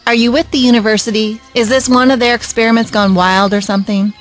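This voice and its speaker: A woman's voice: fairly high pitch, fairly even intonation, quick pace, soft background music, close to an everyday voice. A woman playing a bitter, brusque, uncaring mother with a curt, dismissive manner in an audio drama. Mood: suspicious.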